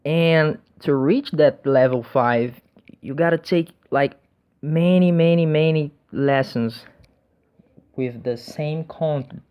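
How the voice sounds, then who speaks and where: Slow-talking man. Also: fairly high pitch, clear, resonant tone. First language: Portuguese. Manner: hesitant, many restarts and repeated words. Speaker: language learner sharing tips. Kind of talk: casual talk to camera. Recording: dull lo-fi recording.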